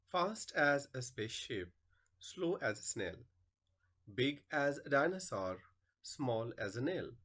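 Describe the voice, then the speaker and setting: A male English teacher presenting a lesson and reciting poems aloud in a deliberate, expressive way. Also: mid-range voice, breathy edge. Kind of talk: instructional narration and poem recitation.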